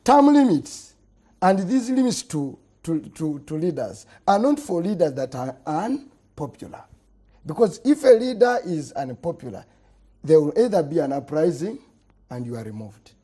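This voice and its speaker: A man's voice: on the high side, very animated, moderate pace, warm tone. A middle-aged man with a Ugandan accent, a politician arguing hard in a panel debate, repeating words and letting his voice fall at the end of phrases. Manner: emphatic, repeats words, voice drops at phrase ends. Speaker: politician guest.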